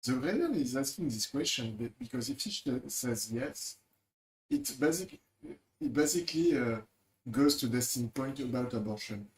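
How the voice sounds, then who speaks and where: A man's voice: mid-range voice, animated, moderate pace. A man streaming and commenting on a debate clip, blunt and exasperated, swearing freely. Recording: roomy echo.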